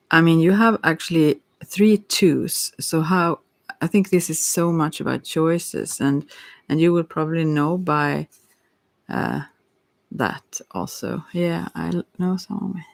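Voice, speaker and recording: An ASMR tarot reader talks slowly with a creaky, gravelly edge. The delivery is hesitant and thoughtful, searching for words while improvising a card reading, recorded close and dry. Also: mid-range voice, no echo. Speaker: tarot reader hosting a live session.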